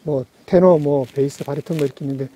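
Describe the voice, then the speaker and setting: Man, forties, eager music talker, fast and high-pitched, dark dry tone. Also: fairly even intonation, husky, low-quality recording. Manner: eager, explains at length with many fillers. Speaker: music enthusiast guest. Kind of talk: casual chat. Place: outdoors in a rural mountain yard. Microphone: far camera.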